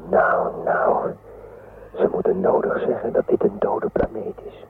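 A man playing a gruff, sceptical space explorer with a low gravelly voice, grumbling in a science fiction radio play. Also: measured pace, close to an everyday voice. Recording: phone-like audio with noise.

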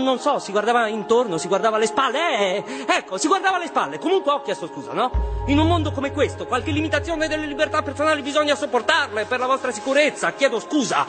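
An actor playing an agitated, defensive young Italian man in theatrical radio drama, insistent and repetitive. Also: very high-pitched. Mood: nervous, apologetic. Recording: music playing behind the voice.

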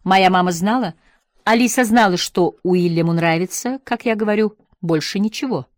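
A woman's voice: medium pitch, normal speed, no room sound, clean studio sound, close to an everyday voice. A woman narrator voicing a passionate, nostalgic former schoolteacher who swings between wistfulness and scolding. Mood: wistful. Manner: impassioned, by turns wistful and scolding. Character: passionate middle-aged woman, former teacher, fond and scornful.

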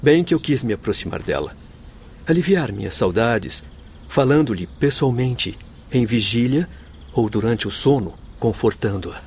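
An actor in a radio soap playing a noble, devoted doctor's spirit, speaking with formal, heartfelt solemnity. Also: male voice, mid-range voice, lively intonation, unhurried, a little mellow, slight background noise. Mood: sorrowful. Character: noble grieving Spanish doctor spirit, devoted forgiving husband. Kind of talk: radio soap opera acting.

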